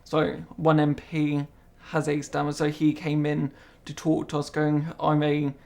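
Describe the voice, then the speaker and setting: A young man who stammers, being interviewed and speaking slowly and carefully, often repeating words as he goes. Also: on the high side, completely flat delivery, darkish tone. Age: young adult. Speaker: interviewee, apprentice. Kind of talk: interview conversation.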